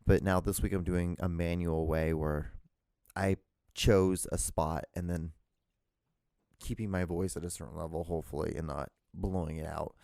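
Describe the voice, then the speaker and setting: A man with a very low voice hosts his own show alone, rambling and restarting his sentences. He has a loud voice, recorded dry and close on a handheld recorder. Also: steady tone, moderate pace, gravelly, dry recording. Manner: rambling, lots of fillers and restarts. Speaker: podcast host. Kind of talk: solo rambling podcast chat. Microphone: handheld digital recorder.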